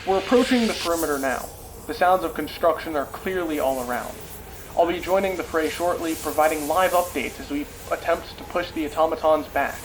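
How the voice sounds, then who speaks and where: A man's voice: fairly high pitch, fairly quick, background noise, lightly acted. A man playing an earnest war correspondent giving dramatic field reports in a sci-fi radio play. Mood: urgent. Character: earnest, gritty male war correspondent at frozen front.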